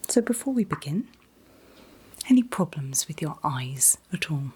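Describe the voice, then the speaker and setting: A woman in a gentle, soothing ASMR roleplay as an optician, whispering breathily and reassuringly without hurry. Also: deep voice, very animated, unhurried. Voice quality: breathy, mostly unvoiced whisper. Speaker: ASMR performer playing an optician.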